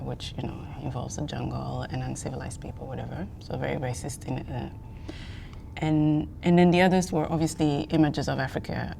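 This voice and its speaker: A woman in her forties from Belgium talks reflectively in an interview. Her voice is low and often creaky, with a faint rumble behind it. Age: 40s. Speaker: interview guest, academic. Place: seated in empty theatre auditorium.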